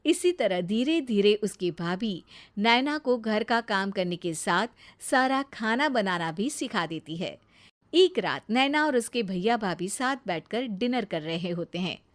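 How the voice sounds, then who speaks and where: A woman narrating a scripted animated family story as its storyteller. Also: mid-range voice, a little slow.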